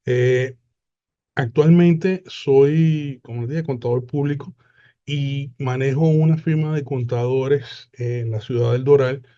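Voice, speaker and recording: A man, presenting a webinar in an unhurried way with frequent hesitant fillers over a video call. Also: mid-range voice, fairly even intonation, warm tone, highs cut off. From Puerto Rico.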